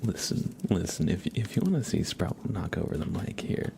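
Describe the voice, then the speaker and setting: Male ASMR streamer, deep register, soft-spoken drifting into whisper, informal and rambling, noticeable background ambience. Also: expressive, normal speed. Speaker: ASMR livestream host. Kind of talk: whispered, chatty live ASMR. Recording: clear background ambient noise.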